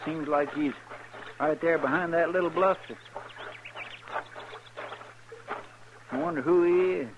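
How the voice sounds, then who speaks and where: An actor playing a folksy, chatty, excitable frontier sidekick with rustic speech in a 1950s radio western. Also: male voice, on the high side, fairly quick, highs cut off, close to an everyday voice. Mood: curious. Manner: rustic drawling grammar. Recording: noisy old 1950s radio recording.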